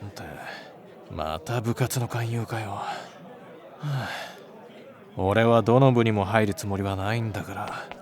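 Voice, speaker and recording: Man playing a low, brusque teenage schoolboy. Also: measured pace, a crowd in the background, close to an everyday voice. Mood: annoyed. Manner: blunt, muttering, rough casual speech. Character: brusque, grumbling, secretly soft-hearted teenage schoolboy. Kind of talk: Japanese anime-style voice drama acting.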